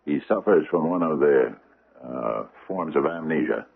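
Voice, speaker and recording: An actor playing a courteous, learned judge in a radio drama, formal and genial with hesitant pauses. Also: male voice, a bass voice, expressive, gravelly, breathy edge, phone-quality sound, exaggerated voice. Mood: grave, authoritative. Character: courteous, learned judge giving his opinion. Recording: thin band-limited old radio recording.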